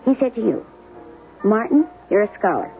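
A woman's voice: medium pitch, very animated, normal speed, creaky. An actress playing a wry, plain-spoken middle-aged wife and former showgirl in a radio drama. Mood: hurt, bitter. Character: frank, wounded middle-aged wife, former chorus girl. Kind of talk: 1970s radio drama acting. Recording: lo-fi phone-like old radio broadcast, music behind.

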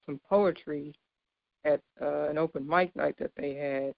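A woman in her thirties with a deep, low voice, giving an informal, earnest devotional sermon and laughing lightly between points. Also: fairly even intonation, measured pace, creaky, no echo, highs cut off. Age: 30s. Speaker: speaker giving a devotional talk.

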